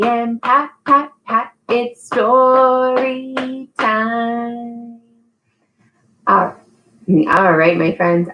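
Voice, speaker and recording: A woman's voice: on the high side, low-quality recording. Woman leading an upbeat children's storytime. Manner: encouraging, child-directed, sing-song when leading activities. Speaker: early childhood storytime host and teacher. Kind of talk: live online storytime for young children.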